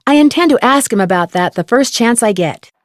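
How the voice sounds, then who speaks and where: Give this game's young female sleuth , played by an actress, a slightly high, articulate voice. She is sharp and curious, explaining clues in a matter-of-fact way, recorded very dry. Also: lively intonation, a little fast, no room sound, close to an everyday voice. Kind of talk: scripted game voice acting.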